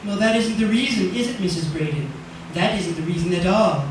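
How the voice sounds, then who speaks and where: A man's voice: sits high, a little fast, close to an everyday voice. A man playing a smug, menacingly genial proprietor in an old-time radio drama, knowing and mock-polite. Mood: suspicious, knowing. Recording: very echoey, distant, noisy.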